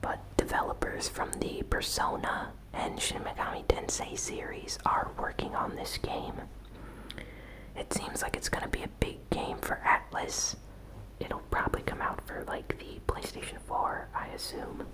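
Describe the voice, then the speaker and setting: A woman hosting an ASMR gaming news recap in a breathy whisper, with soft, unhurried, informal commentary. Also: poor audio.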